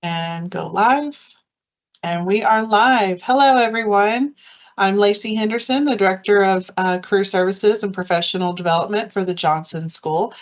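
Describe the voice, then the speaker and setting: Upbeat woman hosting on lo-fi stream. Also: medium pitch. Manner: upbeat and encouraging. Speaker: host, career services director. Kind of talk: hosting a live interview. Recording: dull lo-fi online stream audio.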